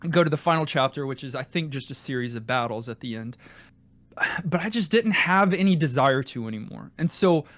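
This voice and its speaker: A man co-hosting a podcast, giving a casual game review and often rephrasing himself in a halting way. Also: mid-range voice, lively intonation, fairly bright tone, dry recording. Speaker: podcast co-host.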